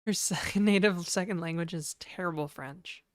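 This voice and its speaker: A woman from Canada talks to her stream chat in an unhurried, rambling, joking way. Her voice is a bit low and smooth, though hoarse this morning, and the sound is dry and close. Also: no room sound. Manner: rambling, joking, slips into mock French and a put-on high e-girl voice. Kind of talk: casual live-stream chat with viewers.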